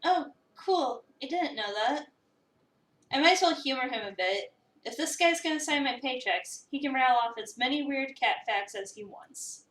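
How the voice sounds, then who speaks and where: Visual novel lead, read by a woman: skeptical, snarky young cafe applicant, bright and sarcastic, echoey room sound. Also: high voice, expressive, moderate pace. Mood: wry, reluctant. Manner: sarcastic, wry. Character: snarky, skeptical young newcomer applying at a cat cafe, narrating inner thoughts. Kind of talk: dramatic reading of a visual novel. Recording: roomy echo, distant microphone.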